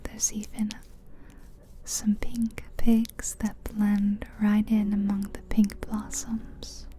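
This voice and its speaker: A woman whispering a slow, soothing guided visualization as an ASMR host, close to the mic. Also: medium pitch, completely flat delivery, mellow, a little hiss or hum. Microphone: close mic, ear to ear.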